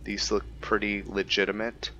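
Male let's play host, deep but bright voice, casual commentary, lo-fi recording. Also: completely flat delivery, moderate pace. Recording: dull lo-fi mic, faint noise.

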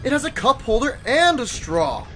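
Goofy gung-ho private played by a man, high and wildly animated, cocky, radio comedy over noise. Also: moderate pace, heavily acted. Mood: eager, proud. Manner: enthusiastic, cocky. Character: eager, goofy male private who tinkers proudly. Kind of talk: comedic radio-play acting.

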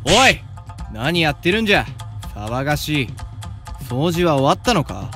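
Senior samurai student, self-assured and kindly, Choshu dialect, highish male voice, soft music behind male actor. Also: big pitch swings, normal speed. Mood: scolding. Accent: Yamaguchi (Choshu). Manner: old-fashioned, breezy authority. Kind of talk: anime-style voice drama.

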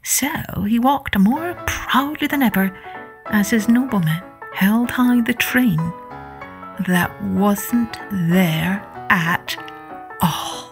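Woman storyteller for kids, mid pitch, expressive and deliberate, some music in the recording. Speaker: storytelling podcast host. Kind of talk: children's storybook narration.

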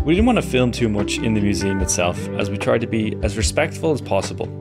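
Brisk scripted male voice-over. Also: fairly low pitch, expressive. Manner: smooth, measured, scripted delivery. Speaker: travel vlogger narrator. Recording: loud guitar music under voice.

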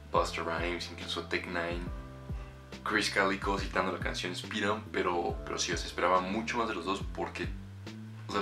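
A man in his twenties with a Mexican accent, chatting casually and with slang as he gives a music reaction. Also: low voice. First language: Spanish. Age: 20s. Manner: informal, slangy, swearing. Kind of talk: casual reaction and review chat. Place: small bedroom, indoors. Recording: roomy bedroom echo, faint music.

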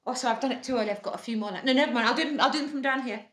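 A woman plays a bumbling fairy godmother in a comic panto. She talks briskly and officiously in a slightly high voice, in a roomy video-call sound with faint music behind. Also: a bit bright, natural voice. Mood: flustered. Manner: matter-of-fact, bumbling. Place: wood-panelled living room, video call.

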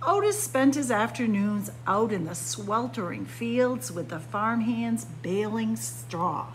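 A woman's voice: fairly high pitch, animated, slow, a little hiss or hum, rough recording. A woman reading a children's picture book aloud like a story-time librarian, expressively, with dramatic emphasis on key words.

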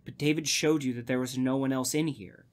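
A man acts a skeptical yet caring brother in a radio play. His voice has a middling pitch. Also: steady tone, a little fast, lightly acted. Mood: skeptical concern. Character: Brian, skeptical but caring older-sibling type brother. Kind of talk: radio drama acting.

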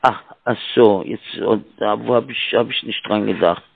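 A man calling as a sales agent by phone, halting and fumbling with fillers, heard over a tinny phone line. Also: on the low side, vocal fry, slight background noise. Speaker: scam caller. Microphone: telephone.

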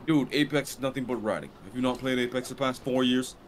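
A man chatting and reacting loudly while gaming with friends, swearing freely. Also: medium pitch, fast, a little hiss or hum. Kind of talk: casual gaming chat.

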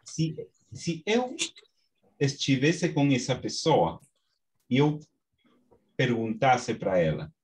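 A man from Peru with a Peruvian Spanish accent, teaching an online class in Portuguese with slow, halting speech and many restarts, over a video call from a small home study. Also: mid-range voice, very animated, darkish tone. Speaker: course instructor. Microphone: webcam on video call.

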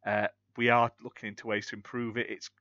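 A man's voice: fairly low pitch, level tone, fast talker, sharp tone, no room sound, lo-fi sound. A British man from the UK co-hosting a gaming podcast, chatting casually and rambling through news with frequent restarts. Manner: rambling, self-correcting, chatty. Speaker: podcast co-host. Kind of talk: casual chat.

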